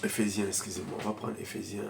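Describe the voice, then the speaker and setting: A man preaching a sermon as pastor, who has a cold and coughs. He moves between shouted, fervent lines and quiet, mumbled asides. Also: fairly low pitch, little pitch movement, fast talker, gravelly, noisy background. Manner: speaks in tongues in bursts.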